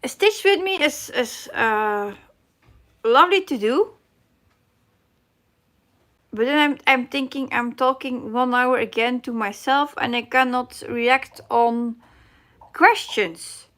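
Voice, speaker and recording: Middle-aged dutch lady chatting slowly on her live stream, high voice, stops to find the english word. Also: fairly bright tone. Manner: halting, searching for English words, reads chat aloud. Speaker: cross-stitch live stream host. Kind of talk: casual live chat reading comments.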